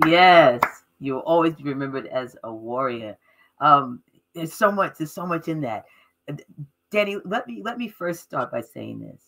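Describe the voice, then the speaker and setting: Brief: woman interview host, enthusiastic and emphatic, bright voice on a webcam. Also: deep voice, animated, moderate pace. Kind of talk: live interview. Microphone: webcam on a video call.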